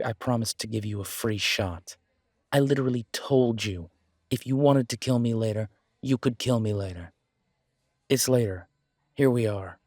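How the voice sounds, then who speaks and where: Guy voicing sardonic werewolf mechanic in audio drama, kinda low husky voice, wary and measured. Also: a little mellow, no echo, clean studio sound. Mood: wary, resigned. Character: wary, sardonic male werewolf mechanic, blunt but polite. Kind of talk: audio roleplay drama dialogue.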